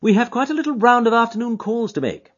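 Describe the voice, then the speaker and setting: A man doing a keen high-voiced detective. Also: very animated, heavily acted. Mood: eager. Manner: quick, emphatic, reasoning aloud. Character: brilliant eager male detective, keen and high-strung. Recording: dull lo-fi audiobook recording.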